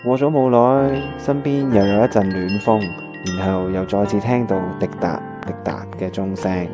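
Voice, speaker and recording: A man's voice: on the low side, fairly even intonation, moderate pace, close to an everyday voice. An actor with a Hong Kong Cantonese accent playing a lovestruck, scheming young man who narrates a radio drama in colloquial, earnest style over music. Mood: reflective storytelling. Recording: dull lo-fi audio with music behind.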